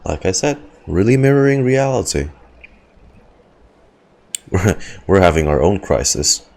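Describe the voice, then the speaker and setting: A man's voice: sits low, big pitch swings, unhurried, gravelly, a little mellow. A man hosting a reaction video, making laid-back, playful comments. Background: game audio and music.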